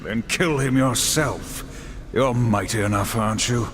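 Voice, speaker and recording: An actor playing a grim, stern horseman of the apocalypse in a video game, solemn and measured. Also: medium pitch, a little hiss or hum, lightly acted. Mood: challenging. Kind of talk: dramatic video game voice acting.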